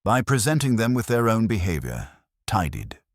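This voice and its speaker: A man's voice: fairly low pitch, very animated, normal speed, no echo, studio quality, voice pushed up. A man voicing an imperious, pedantic genius detective who speaks in formal, pompous pronouncements in an audiobook. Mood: stern, self-assured.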